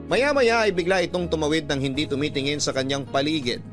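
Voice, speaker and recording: A man's voice: a little high, fast talker. A man narrating a radio drama as its storyteller, in a scripted, dramatic style. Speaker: host and narrator. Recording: music bed behind the voice.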